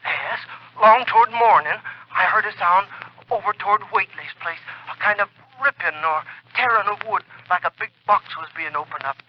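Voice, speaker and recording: An actor playing an uneasy, folksy farmer, halting, on a telephone in a 1940s radio drama. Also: male voice, high-pitched, a little hiss or hum. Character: rustic farmer, uneasy, plain-spoken country man. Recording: muffled old radio telephone call.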